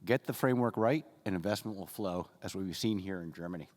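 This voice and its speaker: Guy moderating a conference panel, lowish voice, echoey hall. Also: brisk.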